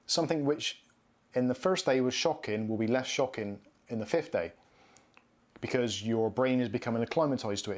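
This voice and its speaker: A man in his thirties from southwest England with a Bristolian accent, hosting a casual live Q&A and answering viewer comments. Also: mid-range voice. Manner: chatty, reads out viewer comments and answers them. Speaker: live stream host. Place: home studio room with shelves and neon signs.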